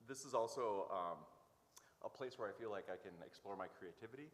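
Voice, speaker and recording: A man being interviewed as a guest, speaking informally in a halting, hesitant way with many pauses. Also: lowish voice. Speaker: interview guest, church worker. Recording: echoey hall, noticeable background noise.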